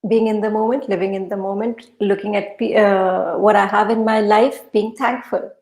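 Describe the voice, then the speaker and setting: A woman's voice: mid-range voice, steady tone, normal speed, vocal fry, a little mellow. A woman whose native language is Urdu gives conversational motivational advice as a podcast guest, in an emphatic, instructive way that repeats phrases for effect. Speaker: podcast guest, mindset coach. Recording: echoey room.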